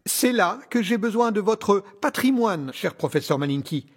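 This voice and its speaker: A man's voice: sits high, expressive, normal speed, breathy edge. A man playing a cunning, courtly scientist villain in an audio drama, speaking in a formal, theatrical, condescending way with veiled menace. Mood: suave, condescending. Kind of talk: scripted audio drama acting.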